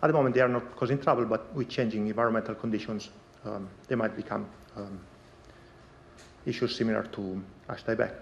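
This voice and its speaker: A man's voice: fairly low pitch, fairly even intonation, vocal fry. Guy giving a science talk, lots of ums, kinda dull lo-fi sound w/ light hiss. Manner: measured, frequent ums. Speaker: conference speaker. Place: lecture hall.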